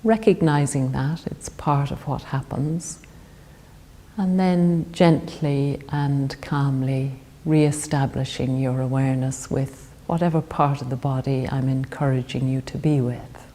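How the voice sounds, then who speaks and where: A woman leading a guided meditation in a calm, gentle, soothing manner. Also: extremely low pitch, measured pace. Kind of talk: guided body scan meditation.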